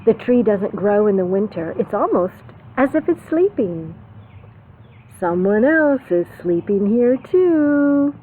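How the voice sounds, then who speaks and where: Slow-paced preschool teacher woman, tinny recording. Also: mid-range voice. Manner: expressive, simple teacherly phrasing with questions to children. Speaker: preschool teacher reading a picture book aloud. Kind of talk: storytime read-aloud for young children with simple explanations. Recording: phone-like narrow band, faint background noise.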